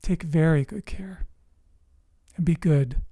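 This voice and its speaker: A resilience coach telling personal stories in a motivational talk, speaking earnestly and deliberately in short phrases with pauses. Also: mid-range voice, mellow, dry recording, clean studio sound. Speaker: host, emotional resilience coach.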